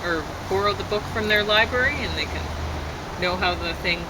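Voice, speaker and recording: Female co-host, informal conversational delivery, taped outside with clear traffic noise behind her. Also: mid-range voice, a little fast. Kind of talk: casual chat. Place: outdoors on a deck. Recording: outdoor recording with clear vehicle noise.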